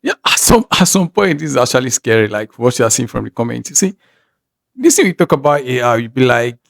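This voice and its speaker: Nigerian-accented male reaction host, mid-pitched, rambling and thinking aloud, recorded dry and close. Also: very animated, fairly quick, vocal fry, no room sound. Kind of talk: casual reaction commentary.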